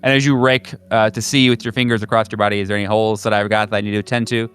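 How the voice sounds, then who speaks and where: A man's voice: on the low side, flat delivery, racing, fairly bright tone, a little hiss or hum. A man narrating a horror tabletop game as game master, in a hesitant, conversational and descriptive manner. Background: background music.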